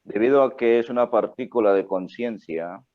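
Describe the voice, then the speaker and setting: Deep-voiced man teaching over a tinny line. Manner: slow, reflective, rhetorical "¿no?" after each phrase. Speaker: spiritual teacher. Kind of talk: online class lecture. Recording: phone-like narrow audio.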